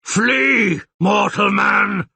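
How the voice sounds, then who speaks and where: A huge bear-headed monster from a fantasy tale. The voice is rough and rasping, with a bright, forceful edge and big swings in pitch. He blusters theatrically, indignant and sarcastic, in a dry, close recording. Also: big pitch swings, measured pace, no room sound, exaggerated voice. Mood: roaring, threatening. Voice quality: rasping, growling. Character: blustering bear-headed monster, roaring, grumpy, grudgingly hospitable.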